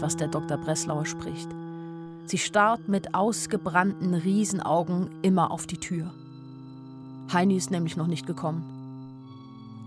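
An actress playing an anxious, observant young woman who narrates her own story wistfully in a literary radio drama. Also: on the low side. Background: music.

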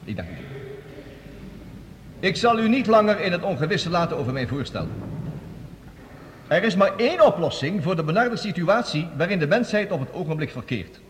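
Pompous orator in a reverberant hall. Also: male voice, sits high, big pitch swings, a little hiss or hum. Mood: solemn, urgent. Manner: theatrical, oratorical, pompous, with dramatic pauses. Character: eloquent, sardonic male scientist and statesman, grand visionary. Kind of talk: radio play acting, formal debate speech. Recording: long reverberant hall, radio play production.

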